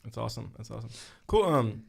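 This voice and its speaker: Guy hosting a podcast, talks fast, kinda gravelly, lots of yeah and wow. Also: medium pitch, lively intonation. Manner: quick, enthusiastic interjections, backchannels a lot. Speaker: podcast host. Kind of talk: casual podcast interview.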